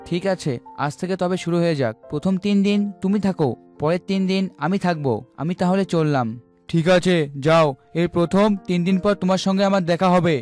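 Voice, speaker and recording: A man's voice: high voice, darkish tone, lightly acted. A man voicing a proud, bickering talking sun or moon in a cartoon fable.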